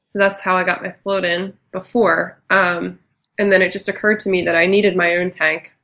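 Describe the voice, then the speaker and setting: A woman's voice: lowish voice, level tone, moderate pace, a bit bright. A woman with a nasal voice, a guest on a podcast, talking casually about her business. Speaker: guest, float center owner.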